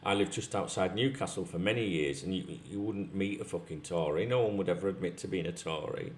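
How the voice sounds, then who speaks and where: A man's voice: low voice, a little fast. Echoey middle-aged Northern English man. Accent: Northern English, North Yorkshire. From North Yorkshire, England. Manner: blunt, sweary, conversational. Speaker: YouTube host. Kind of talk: casual opinionated talk to camera. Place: large tiled-floor room at home, indoors. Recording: echoey room.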